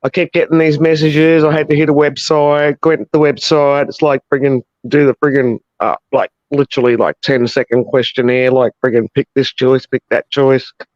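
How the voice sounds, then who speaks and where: An Australian woman with a deep voice calling into a livestream, telling a story bluntly and with plenty of swearing. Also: fairly even intonation, moderate pace, a little mellow, no room sound, muffled recording. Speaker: viewer caller. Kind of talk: casual chat.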